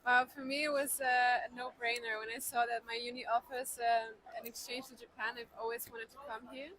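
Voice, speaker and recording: A woman's voice: on the high side, level tone, brisk, fairly bright tone, low-quality recording. A young woman from Poland on a student exchange, talking enthusiastically and emphatically. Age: young adult. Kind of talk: casual street interview. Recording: faint street noise.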